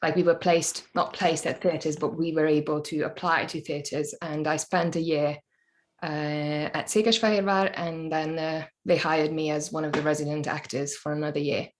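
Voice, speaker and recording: Low-voiced woman, thoughtful. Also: steady tone, moderate pace, slight background noise. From Hungary. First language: Hungarian. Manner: thoughtful, searching for words. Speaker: podcast guest, actor. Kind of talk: casual interview chat.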